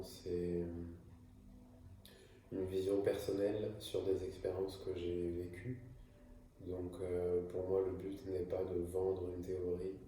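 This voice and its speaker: Low, slow-talking French man in an echoey room. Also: mellow, distant mic, slight background noise. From France. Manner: hesitant, many fillers and restarts. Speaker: video creator. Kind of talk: improvised casual monologue.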